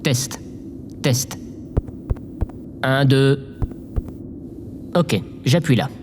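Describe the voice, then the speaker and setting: Casting: echoing, slightly humming recording, man as forlorn amateur podcaster, mid-range voice, deadpan and weary. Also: medium pitch, very slow, noisy background. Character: self-pitying, hapless male amateur podcaster. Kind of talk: audio drama monologue.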